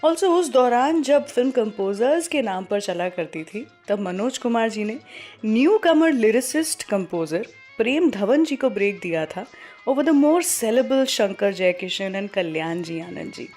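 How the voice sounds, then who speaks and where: A woman's voice: fairly high pitch, lively intonation, unhurried, darkish tone. A woman with an Indian accent presenting a radio show, telling film trivia in a conversational storytelling way with English words mixed in. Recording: music playing behind the voice. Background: background music.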